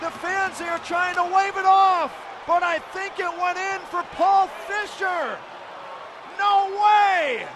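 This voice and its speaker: Male hockey play-by-play, very high voice, excitable delivery, live radio broadcast, clear background noise. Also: slow, a crowd in the background. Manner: excitable, builds to shouted calls. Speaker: ice hockey play-by-play announcer. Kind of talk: live radio sports commentary. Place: broadcast position at hockey games.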